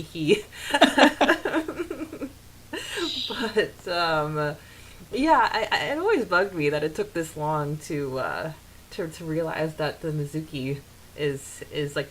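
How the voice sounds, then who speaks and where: Hissy recording of a lower-voiced, giggly woman. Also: rough recording. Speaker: podcast co-host. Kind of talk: casual fan discussion with read-out quotes. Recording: hissy background noise.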